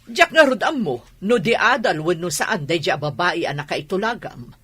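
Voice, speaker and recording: Haughty, animated mother played by a woman. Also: on the low side, normal speed, breathy edge. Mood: fussing. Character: haughty, expressive mother, fussy about her son's match. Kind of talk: Ilocano audio drama acting.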